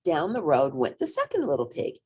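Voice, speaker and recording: A woman reading a children's story aloud expressively, with drawn-out emphasis and playful asides. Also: deep voice, wildly swooping pitch, a little mellow, slightly rough.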